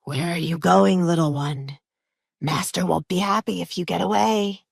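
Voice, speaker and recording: A woman voicing a sinister, mocking henchman in an audiobook, with a gravelly, husky drawl. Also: fairly low pitch, normal speed, no room sound, clean studio sound, full character voice. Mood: mocking menace. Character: menacing, taunting male henchman with a dagger.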